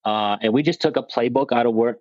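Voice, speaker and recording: A man's voice: mid-range voice, a little fast, a little mellow, dry recording, lo-fi sound. A man being interviewed about his veterans program, speaking in a plainspoken, rambling, self-deprecating way with frequent restarts. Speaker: guest, veterans motorsport program leader. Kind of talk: conversational interview.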